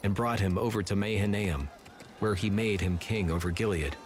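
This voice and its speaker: A man narrating scripture from a script in a measured, solemn manner. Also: fairly low pitch, noisy background. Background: music.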